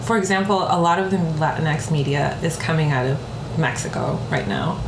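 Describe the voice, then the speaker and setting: Give me a reflective woman from New York talking things through as a podcast guest, slightly low voice. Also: moderate pace. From Bronx, New York. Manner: reflective, frequent "like" and "right?" tags. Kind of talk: conversational interview. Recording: echoey room with faint noise.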